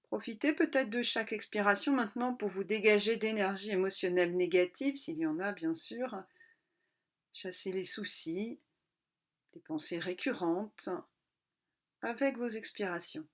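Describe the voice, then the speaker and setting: A woman yoga nidra instructor leading a guided relaxation in a calm, unhurried way, pausing between short instructional phrases. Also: mid-range voice.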